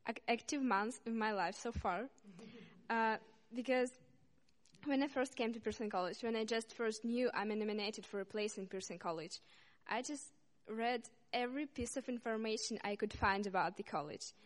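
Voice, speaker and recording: Halting young woman, high voice, hall echo. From Russia, Saint Petersburg. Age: young adult. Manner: halting, many uhs, apologizes and restarts. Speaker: student speaker. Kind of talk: informal speech to a congregation. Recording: reverberant hall.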